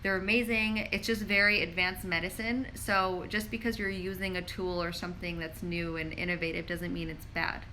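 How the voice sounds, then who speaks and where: A woman's voice: on the low side, steady tone, normal speed, hardly any pauses, bright, forceful tone, slight background noise, low-quality recording. A woman pharmacist answering viewer questions in a casual live stream, talking chattily with lots of "like" and reading questions aloud, recorded on a handheld phone. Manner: chatty, frequent "like" fillers, reads questions aloud. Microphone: phone held in hand.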